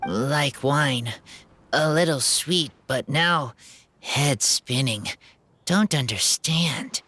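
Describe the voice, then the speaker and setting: An actor voicing an earnest, wild-raised teenage boy in a video game, speaking tersely in halting, broken sentences. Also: medium pitch, pushed and bright, slight background noise. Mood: dazed, puzzled. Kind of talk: video game character acting. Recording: music behind the voice.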